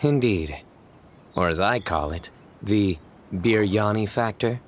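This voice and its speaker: An actor playing a composed, earnest young investigator in a video game, speaking in a deadpan, formal, measured way. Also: male voice, on the low side, slight background noise. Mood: smug, joking. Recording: muffled game audio with music.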